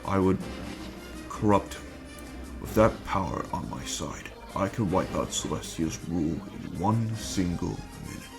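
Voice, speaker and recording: A man acting in an amateur dramatic reading as a scheming, menacing villain bent on conquest, speaking in a measured, formal, commanding way. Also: low-pitched, lively intonation, creaky, exaggerated voice. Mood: cold, calculating. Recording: music bed under the voice.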